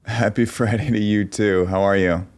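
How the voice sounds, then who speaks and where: A man chats with a job applicant in a casual call. He sounds friendly and loose, stumbling over restarts and fillers. His voice sits a little low. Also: fairly even intonation, normal speed. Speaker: interviewer posing as an employer. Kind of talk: casual video call conversation.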